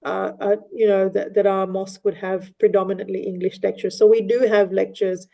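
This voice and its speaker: Hesitant woman with a mellow, even voice. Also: medium pitch, fairly quick, gravelly. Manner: hesitant, many fillers and restarts. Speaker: mosque community member. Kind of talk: casual discussion. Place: online meeting.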